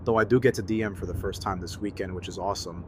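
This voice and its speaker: A man's voice: deep voice, fairly even intonation, fast talker, a little mellow, slight background noise, lightly acted. A man acting an easygoing, friendly buddy with casual, slangy talk in an audio drama. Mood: friendly, upbeat. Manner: casual, slangy, laid-back.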